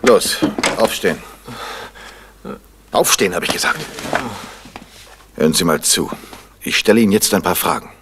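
An actor playing a determined, commanding detective in a vintage radio crime drama. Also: male voice, deep voice, moderate pace, long pauses, lightly acted. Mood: stern, threatening. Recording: noisy vintage radio recording.

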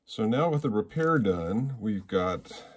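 A man, explaining things in a casual, halting vlog style at home. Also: on the low side, animated, slightly rough. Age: 60s. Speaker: musician and presenter. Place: small rooms in a home.

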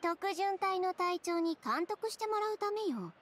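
A woman playing a cool, proud, sharp-tongued young seamstress in a game, curt and self-assured. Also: very high-pitched, lively intonation, a little slow, a little hiss or hum. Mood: curt, confident.

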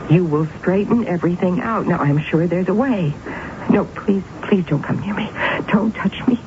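An actress playing an intense, anguished middle-aged woman in a radio drama, with a dramatic, halting delivery. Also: lowish voice, noisy background, close to an everyday voice. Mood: pleading. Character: anguished, intense woman narrating her inner turmoil. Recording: tinny, band-limited radio recording with music.

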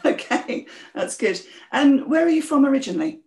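A woman hosting a podcast, introducing the show and asking a guest clear, friendly questions. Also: sits high, big pitch swings, normal speed, a little mellow. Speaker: podcast host. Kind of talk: interview podcast.

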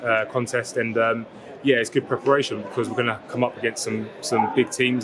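Footballer with a flat, even voice. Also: male voice, mid-range voice, noisy background. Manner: relaxed, fluent, filler-heavy answers. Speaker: footballer, vice captain. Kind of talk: post-match interview. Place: outdoors at a football ground. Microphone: lapel mic.

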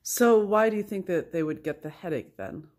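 A woman's voice: lowish voice, lively intonation, moderate pace, a little mellow. A woman, a marketing events manager, reading a viewer's question and asking short follow-up questions from behind the camera. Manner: reads the viewer's question, then asks short follow-ups. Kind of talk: casual interviewing. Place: dining room, behind the camera.

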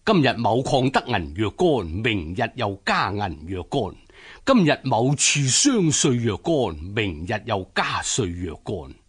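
An actor playing an earnest, troubled official, solemn and measured, in a radio drama. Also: male voice, fairly low pitch, very animated, slightly rough, exaggerated voice. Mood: solemn indignation. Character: earnest, troubled male official and loyal husband.

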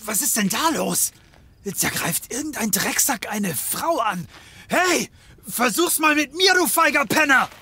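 A man's voice: very high voice, sing-song, a little slow, pushed and bright, husky, dry recording, exaggerated voice. A man playing a grumpy, sardonic private detective in his late thirties in a comedic audio drama, muttering and stammering, with some noise behind him. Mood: angry shouting.

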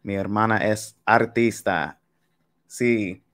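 A man's voice: fairly low pitch, slow, a bit bright. A young man teaching a live online Spanish lesson, chatty and casual, talking straight to his viewers from a home webcam. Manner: casual, chatty, talks to chat with y'all. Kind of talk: live-streamed game lesson.

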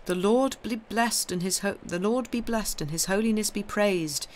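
A man voicing the solemn head of a religious order in an audiobook, making loud, clear proclamations. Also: high voice, normal speed, slight background noise, pitched above the performer's own voice, lightly acted. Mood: solemn, proclaiming.

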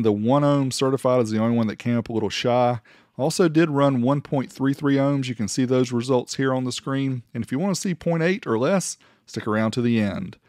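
A man's voice: medium pitch, a little mellow, no echo, studio quality. A man hosting a gear review, narrating tests casually in a matter-of-fact, explanatory way and reading out figures. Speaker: host of an audio gear review channel. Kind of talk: casual product test narration.

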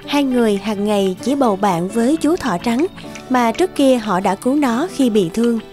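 A woman giving scripted storybook narration of a fairy tale in a slow, even way. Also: a little high, soft background music.

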